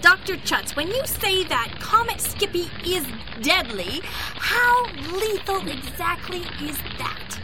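An actress playing a pushy TV news reporter in a comedy audio drama, insistently calling out questions. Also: female voice, very high-pitched, sing-song, takes it slowly, exaggerated voice. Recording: noisy street crowd behind.

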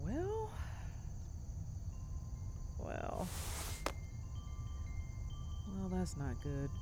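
An actress playing an anxious, sardonic gig worker in a naturalistic audio drama, with a hesitant, wry, expressive way of talking. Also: female voice, on the low side, very slow, heavy background noise, exaggerated voice. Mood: rattled, wry. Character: anxious, sardonic gig-worker woman, freshly suspended from her job.

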